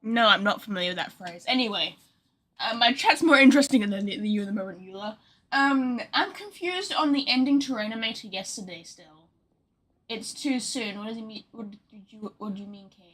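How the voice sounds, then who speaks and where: Bright-voiced woman streamer. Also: a little high, expressive, a little fast, husky. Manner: rambling, speculative, trailing off. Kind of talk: casual livestream commentary.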